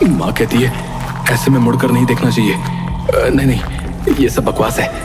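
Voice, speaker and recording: A man's voice: medium pitch, little pitch movement, normal speed, over loud music, close to an everyday voice. A man voicing a nervous lone traveller in a cartoon, dramatically talking to himself. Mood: uneasy. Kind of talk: Hindi cartoon voice acting.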